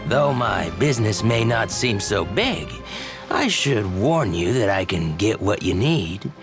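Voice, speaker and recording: Shady trader, slow and swooping. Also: male voice, on the low side, sing-song, over music. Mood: secretive. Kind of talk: video game character acting.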